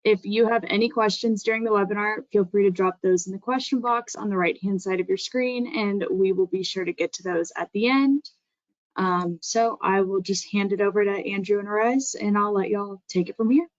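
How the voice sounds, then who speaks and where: A woman's voice: mid-range voice, normal speed, no room sound. Woman reading a dry webinar opening. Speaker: marketing specialist. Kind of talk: webinar host introduction. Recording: dry, dull lo-fi audio.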